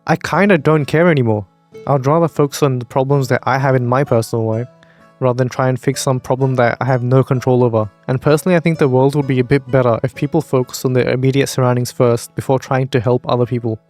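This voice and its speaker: A man's voice: medium pitch, fairly even intonation, fast talker, a little mellow, soft background music. Blunt young man ranting over clips. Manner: blunt, opinionated, swears freely. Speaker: reaction video host. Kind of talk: casual reaction commentary.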